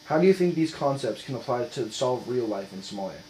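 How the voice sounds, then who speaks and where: A man's voice: medium pitch, expressive, a little fast, mellow. A man working as an interviewer, putting scripted questions in a formal, polite manner. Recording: echoey room with mains hum.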